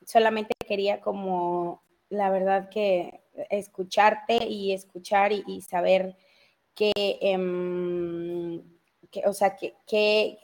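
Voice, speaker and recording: A woman's voice: medium pitch, little pitch movement, slow pace, a little mellow. A woman from Mexico with a Mexico City accent, hosting a casual live news chat and talking freely with many fillers. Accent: Mexico City Spanish. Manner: talkative, many fillers and self-interruptions. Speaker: host.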